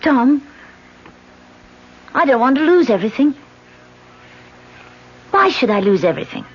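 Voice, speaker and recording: An actress playing an anxious, strong-willed politician with a guilty secret, dramatic and halting in an old-time radio mystery. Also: female voice, sits high, sing-song, husky, heavily acted. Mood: uneasy. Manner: dramatic, halting under strain. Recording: dull, noisy old radio broadcast.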